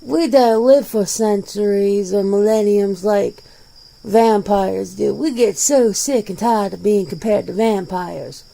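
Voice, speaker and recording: Woman playing a mellow, creaky, laid-back werewolf. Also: medium pitch, slow, rough recording. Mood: guarded, exasperated. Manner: blunt, casual, swearing, conversational. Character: jaded, defensive werewolf in their forties, plain-spoken. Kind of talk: ASMR roleplay of an interview.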